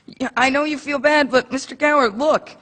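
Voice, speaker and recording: Casting: scared pleading boy, a man voicing him, very high, bright tone. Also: steady tone, moderate pace. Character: frightened earnest boy working in a drugstore. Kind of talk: live radio drama acting. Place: theater stage.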